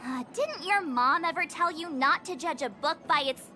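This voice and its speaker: Game role: bubbly, teasing young woman, very high and bouncy. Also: lively intonation, normal speed, pushed and bright, heavily acted. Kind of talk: video game voice acting. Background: game music.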